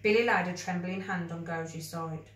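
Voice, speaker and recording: A woman reading a children's story aloud with expressive narration. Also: lowish voice, moderate pace. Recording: roomy, echoey, distant microphone.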